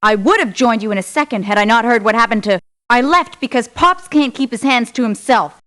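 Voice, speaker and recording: An actress playing a proud, aggrieved mercenary for hire in a video game, with a curt, assertive delivery. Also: female voice, a little high, animated, a little fast, a bit bright. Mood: businesslike, curt. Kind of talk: scripted video game voice lines. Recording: lo-fi muffled game clips in places.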